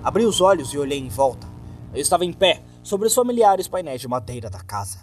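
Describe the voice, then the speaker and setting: A Brazilian man reading a horror story in a dramatic first-person narration, with deliberate, suspenseful phrasing. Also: a little high, sing-song. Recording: music behind the voice. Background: background music.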